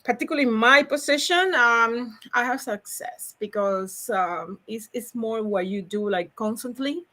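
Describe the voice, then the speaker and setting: Bright, upbeat woman with Cuban accent. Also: on the high side, measured pace. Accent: Cuban Spanish. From Cuba. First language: Spanish. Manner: energetic, upbeat, laughs often. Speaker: logistics salesperson, guest. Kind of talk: casual interview talk.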